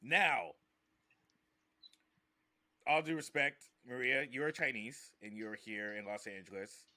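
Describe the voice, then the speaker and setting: A man's voice: mid-range voice, slow, pushed and bright, dry recording. A man hosting a podcast in casual, conversational style. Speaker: podcast host.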